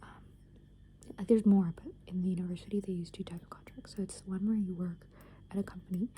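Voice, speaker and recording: A young woman whispering close to the microphone, rambling softly and casually with plenty of "like" and "um" as she talks. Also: mid-range voice, fairly even intonation, fast talker, slight background noise. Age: young adult. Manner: soft unvoiced whisper, rambling with many fillers. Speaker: ASMR creator. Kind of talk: whispered ASMR soft rambling.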